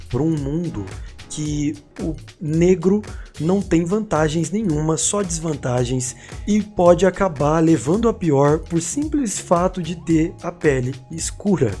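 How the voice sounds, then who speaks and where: A man's voice: on the high side, warm tone. A man in his thirties from São Paulo with a Paulista accent, telling his life story to camera in a casual, reflective way with some street slang. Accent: Paulista Brazilian Portuguese. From São Paulo, Brazil. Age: 30s. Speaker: YouTuber.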